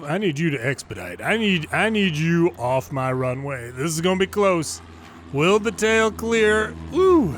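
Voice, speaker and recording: A man's voice: on the high side, a little hiss or hum. An American man giving casual, folksy gameplay commentary and explanations as a former controller hosting a gaming video.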